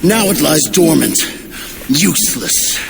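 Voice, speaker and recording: Gravelly, wrathful giant overlord. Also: male voice, on the high side, lively intonation, slow pace, background noise, full character voice. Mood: cold anger. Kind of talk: cinematic video game villain acting.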